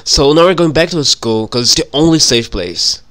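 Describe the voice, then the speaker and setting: A man's voice: on the high side, animated, normal speed, fairly bright tone. A young man hosting a gaming video, chatting casually and informally with a self-deprecating manner. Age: young adult. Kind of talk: casual game commentary, reading dialogue aloud. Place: small room at home. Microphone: handheld microphone.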